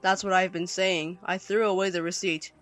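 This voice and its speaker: A woman voicing a callous, defensive older husband with a gruff, dismissive manner in an audio story. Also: on the low side, little pitch movement, normal speed, fairly bright tone, faint music behind, lightly acted. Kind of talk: audio story character acting.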